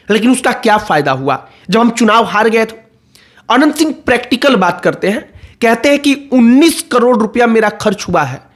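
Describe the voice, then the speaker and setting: A man's voice: sits high, a bit bright. A man giving explanatory political commentary, going point by point and repeating phrases for emphasis.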